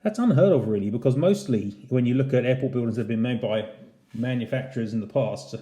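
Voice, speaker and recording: A man's voice: medium pitch, lively intonation, fast talker, mellow. A man hosting a casual unboxing review, talking in a conversational, explanatory way. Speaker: channel host, model airport reviewer. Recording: roomy, echoey indoor sound.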